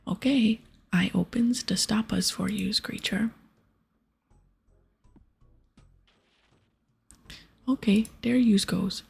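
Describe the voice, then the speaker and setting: A woman's voice: medium pitch, a little mellow, heavily acted. A woman voicing a dim, worried male ogre in whispered, broken baby-talk for a game. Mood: worried warning. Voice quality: whispered, breathy. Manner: slow, broken childlike grammar.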